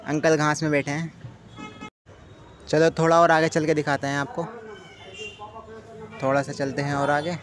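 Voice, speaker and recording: Higher-voiced man vlogging outdoors. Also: little pitch movement, normal speed, pauses often, soft background music. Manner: informal, chatty, pointing things out to viewers. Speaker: travel vlogger. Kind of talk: casual handheld vlog narration. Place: outdoors around a stadium and its stands. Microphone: handheld phone. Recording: noisy outdoor phone video with street ambience.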